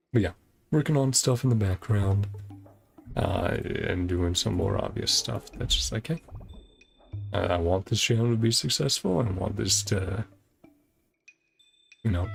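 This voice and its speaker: Tired man with a heavily creaky voice. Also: lowish voice, a little mellow. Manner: hesitant, rambling, informal. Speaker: game streamer. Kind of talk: casual stream outro chat. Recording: music playing behind voice. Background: music.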